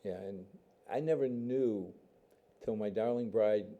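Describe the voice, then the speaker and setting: A man's voice: on the low side, unhurried, warm tone, lo-fi sound. A male talk show host, a native Portuguese speaker, chatting in a folksy, joking way with his guest. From Massachusetts, USA. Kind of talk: casual interview chat.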